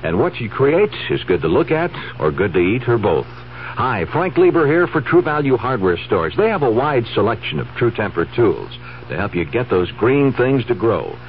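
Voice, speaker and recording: A man's voice: medium pitch, very animated, moderate pace. A man delivering a scripted radio commercial as a product spokesman. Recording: dull, faintly hissy vintage radio.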